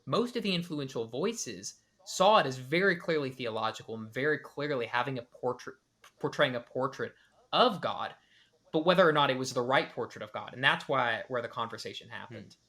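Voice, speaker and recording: Male podcast host reacting to a clip, bright voice, analytical, lots of restarts. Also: mid-range voice, lively intonation, moderate pace. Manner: analytical, with many restarts and hedged speculation. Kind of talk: reaction commentary.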